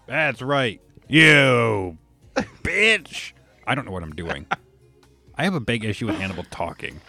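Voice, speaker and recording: A man's voice: medium pitch, very animated, measured pace, sharp tone, dry recording, soft background music. A man streaming a video game, joking crudely and swearing a lot in casual banter.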